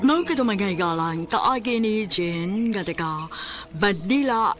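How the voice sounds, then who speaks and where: A woman's voice: medium pitch, animated, slow, over loud music. A woman with a Cebuano accent reading formal scripted narration as a radio drama announcer.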